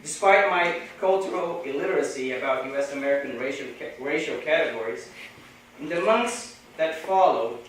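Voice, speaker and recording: A man from Brazil with a Brazilian Portuguese accent, Portuguese being his native language, gives a measured academic talk from a podium at a conference. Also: mid-range voice, a bit bright, slight background noise. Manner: measured, deliberate delivery with pauses. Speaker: conference speaker. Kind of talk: academic lecture. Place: large echoey lecture hall. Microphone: podium microphone. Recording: echoey hall, distant mic.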